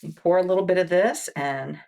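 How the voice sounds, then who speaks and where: A woman playing a wound care nurse in an ASMR roleplay, speaking softly and patiently, explaining each step and sometimes dropping to near-whispers. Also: fairly low pitch, brisk, creaky. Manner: soft-spoken, patient explanations with frequent "okay"s; drops to near-whispers between lines.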